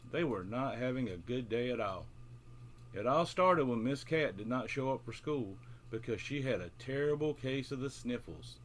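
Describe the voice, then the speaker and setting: A man with a mid-pitched voice speaks to young children as a bedtime storytelling host. He addresses his listeners directly, in simple storybook language. Some noise sits behind the voice. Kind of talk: friendly introduction, then read-aloud children's storytelling.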